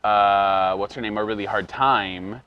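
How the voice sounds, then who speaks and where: A man's voice: on the low side, unhurried, highs cut off, slight background noise. A man co-hosting a casual reality TV recap show, talking with frequent ums and restarts. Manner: animated, hesitant with many ums and restarts. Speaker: podcast co-host.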